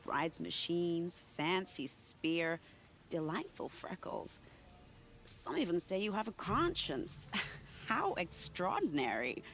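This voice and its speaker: A woman's voice: lowish voice, sing-song, slow pace, gravelly, a bit bright, exaggerated voice. An actress playing a sly, flirtatious female spy in a game, teasing and confiding in a playful tone. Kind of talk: video game cutscene acting.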